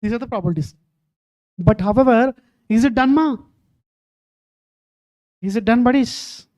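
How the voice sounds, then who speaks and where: Mellow-voiced woman teaching. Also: on the high side, moderate pace. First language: Telugu. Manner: keeps quizzing students, calling them "ma". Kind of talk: online exam-prep lecture.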